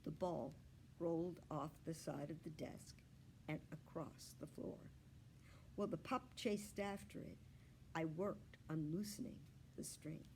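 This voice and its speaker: A woman storyteller reading a children's book aloud with expressive, deliberate narration. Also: deep voice, slight background noise, poor audio.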